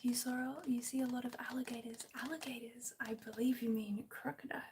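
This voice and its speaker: A woman with an Australian accent chatting gently in a hushed, breathy, near-whispered ASMR live stream style. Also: on the high side, little pitch movement, a little fast, slight background noise. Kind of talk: soft-spoken ASMR live chat with viewers.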